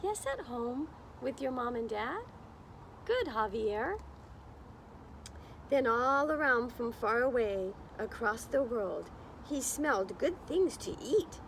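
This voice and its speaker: A woman's voice: a little high, expressive, slow pace, vocal fry, slight background noise, rough recording. A woman reading a picture book aloud to young children, expressively, with encouraging, chatty explanations along the way. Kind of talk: slow children's read-aloud with chatty asides to listeners.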